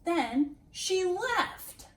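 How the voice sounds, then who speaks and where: A woman reading a children's storybook aloud as a read-aloud host, expressive and deliberate, with dramatic pauses and emphasis. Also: extremely high pitch. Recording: roomy echo, distant microphone.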